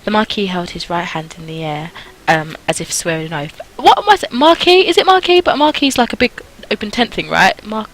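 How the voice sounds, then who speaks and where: A woman's voice: medium pitch, lively intonation, brisk, few pauses, fairly bright tone, rough recording. A young adult woman reading a visual novel aloud, with casual asides as host of a gaming video.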